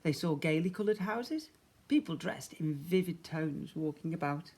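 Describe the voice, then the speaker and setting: A man's voice: fairly high pitch, lively intonation, moderate pace, darkish tone, slightly rough. A man, a primary school teacher, reading a story expressively to young children.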